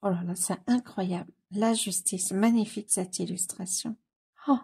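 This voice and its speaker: A woman with a cold talks slowly and casually, her voice very husky with a gravelly edge and a dark, mellow tone. She gushes over what she sees, repeats her delight, and often hesitates on fillers. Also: mid-range voice, steady tone. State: has a cold. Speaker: coloring book YouTuber. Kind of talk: casual commentary while leafing through a book.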